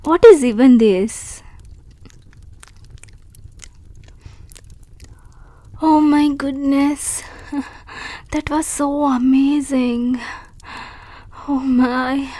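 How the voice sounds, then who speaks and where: A bashful young wolf creature, played by a woman, talks slowly and gently in a raised, mellow voice. She is polite and hesitant and often stumbles over her words. The sound is close and dry. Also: sits high, no echo. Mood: awestruck. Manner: soft, polite and hesitant, with stammers. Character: shy grateful young female wolf creature. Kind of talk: audio roleplay.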